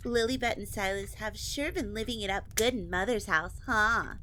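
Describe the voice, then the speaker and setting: A woman's voice: mid-range voice, moderate pace, background noise, natural voice. A woman playing a rambunctious, childish vampire noblewoman, teasing and whiny, in an audio drama. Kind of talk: dramatic audio roleplay acting.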